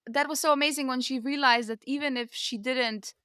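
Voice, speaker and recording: Bright, high-voiced, gushing young-sounding woman podcaster. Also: fairly even intonation, fairly quick, clear, resonant tone, no echo. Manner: gushing, enthusiastic, peppered with 'like' and 'you know'. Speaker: podcast co-host. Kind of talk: casual book discussion chat.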